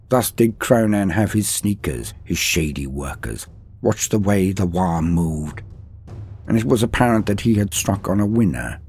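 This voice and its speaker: A man's voice: on the low side, darkish tone, husky. A man narrating a comedic mock-epic story with archaic phrasing and joking asides.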